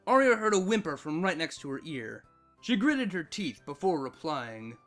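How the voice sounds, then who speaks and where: A man narrating a scripted story with dramatic, expressive delivery. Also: fairly high pitch, normal speed. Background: background music.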